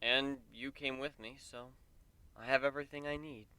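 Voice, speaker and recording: A man's voice: medium pitch, steady tone, normal speed, pushed and bright, smooth, dry recording, lightly acted. A man voicing a male pegasus pony in a story reading, plainspoken, folksy, wry and earnest.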